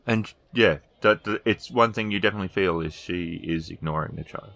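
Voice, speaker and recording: A man's voice: deep voice, fairly quick, vocal fry, a bit bright, dry recording. A man narrating a tabletop role-playing game as its game master.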